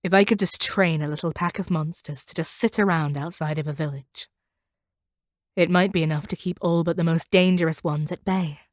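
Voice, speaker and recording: Woman playing a low-voiced, domineering monster hunter. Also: fairly even intonation, no room sound, studio quality, natural voice. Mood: calculating, condescending. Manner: domineering, condescending, measured. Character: proud, cold, bitter monster hunter woman taming a captive. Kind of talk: scripted audio roleplay monologue.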